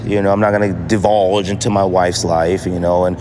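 An American man in middle age speaks in a fairly low voice with clear noise behind him. He talks like a casual podcast host, earnest and conversational, leaning on repeated phrases to drive his point home. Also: level tone, a little fast. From Inglewood, California, United States. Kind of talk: casual solo podcast talk.

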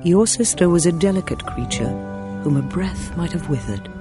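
A woman's voice: fairly low pitch, lively intonation, normal speed, music in the background, lightly acted. A woman playing a solemn, luminous spirit in a family radio drama, with stately, formal phrasing. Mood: grave.

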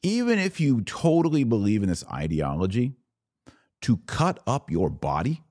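A man's voice: mid-range voice, big pitch swings, moderate pace, darkish tone. A middle-aged American man hosting a podcast, giving emphatic, argumentative commentary with rhetorical questions. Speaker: podcast host. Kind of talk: opinion commentary on played clips.

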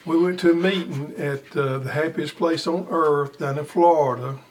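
A man hosting a garden radio show in casual chat, his voice slightly raspy as he recovers from illness. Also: on the high side, steady tone, normal speed. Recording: distant mic, faint noise.

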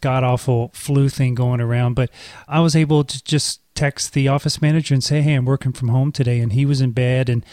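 Male podcast host, 40s, mid-pitched, jokey and relaxed, dry close mic. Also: fairly quick, dry recording. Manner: easygoing and joking, asks long rambling questions. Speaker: podcast host, speech therapist. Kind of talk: casual interview.

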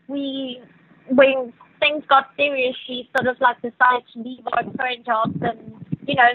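A woman's voice: a little high, slight background noise. A woman from Venezuela whose first language is Spanish, speaking English with a South African accent, answering interview questions with many hesitations. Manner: hesitant, many fillers and restarts. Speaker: yacht crew member, guest. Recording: tinny phone line, dry.

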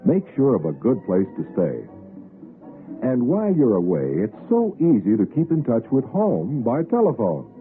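A man's voice: mid-range voice, huge pitch swings, moderate pace. A man reading a friendly, persuasive 1960s radio commercial. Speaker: advertisement announcer. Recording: tinny old radio broadcast. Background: faint music.

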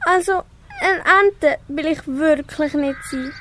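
A tiny, anxious cat acted by a young girl speaks in a very high voice for a school radio play. The words come very slowly, with meows between them, over noticeable noise. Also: fairly bright tone. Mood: curious, content. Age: young teenager. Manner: slow, punctuates speech with meows. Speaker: school pupil in a radio workshop. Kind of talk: amateur radio play acting.

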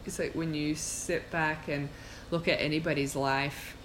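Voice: mid-range voice, flat delivery, a little slow, a bit bright, slight background noise, low-quality recording. Casual, reflective podcast host. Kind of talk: casual conversational podcast talk.

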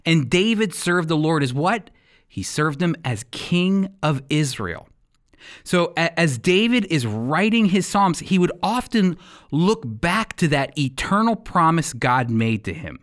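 Lively higher-voiced man teaching. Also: a little slow. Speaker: radio host and Bible teacher. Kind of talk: scripted teaching and commentary.

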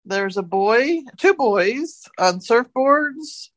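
A woman's voice: on the high side, expressive, extremely slow. A woman co-hosting a casual talk show, retelling odd news stories in a conversational way.

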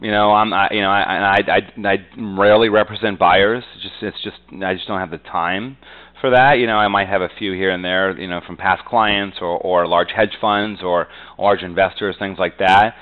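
A man's voice: sits low, fairly even intonation, normal speed, a bit bright, rough recording. A man being interviewed as a real estate agent, talking conversationally and confidently, with frequent verbal tags. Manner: frequently punctuates talk with "you know".

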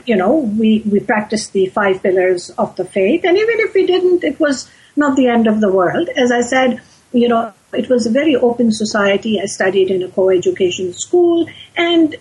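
A woman in her sixties from Pakistan, an author and activist answering interview questions in a measured, thoughtful way with frequent pauses. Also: fairly high pitch, darkish tone, slightly rough, low-quality recording.

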